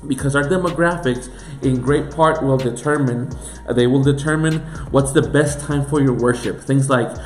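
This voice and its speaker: A man's voice: medium pitch, moderate pace. A man with a Puerto Rican accent, a pastor giving an earnest teaching talk that runs through a series of questions. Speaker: pastor and church planter. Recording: echoey room with faint music.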